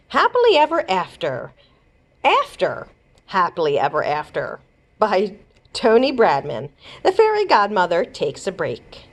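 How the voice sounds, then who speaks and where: A woman reading a children's chapter book aloud as a storyteller, with expressive delivery. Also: lowish voice, slight background noise. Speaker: librarian host and storyteller. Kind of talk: children's read-aloud narration.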